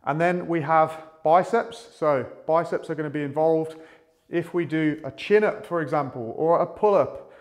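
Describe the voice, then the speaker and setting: A man in his thirties speaking as a fitness coach, explaining in a measured, methodical way to camera. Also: on the high side. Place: small indoor room with a whiteboard.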